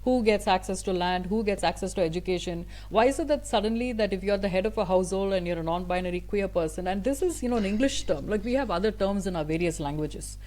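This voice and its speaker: A woman's voice: medium pitch, quick pace, few pauses, a little mellow. A woman whose first language is Tamil, a guest speaker answering an interview at length in an earnest, thoughtful way, with frequent fillers and restarts.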